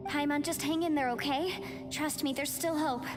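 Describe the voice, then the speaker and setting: A woman's voice: sits high, fairly even intonation, moderate pace, natural voice. A woman playing a determined, encouraging young half-immortal woman in a video game, earnest and reassuring. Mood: urgent encouragement. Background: game music.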